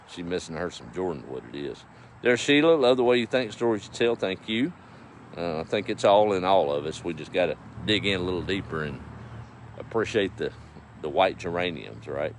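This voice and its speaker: A middle-aged man with an East Texas accent chatting casually on a farm livestream, folksy and easygoing, talking to his animals and viewers. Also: on the low side. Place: outdoors on a farm. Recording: faint outdoor background noise.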